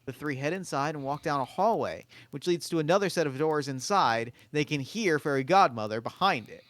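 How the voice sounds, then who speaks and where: A man's voice: a little high, lively intonation, brisk, a bit bright, dry recording. A man reading scripted stage directions as the narrator of a table read.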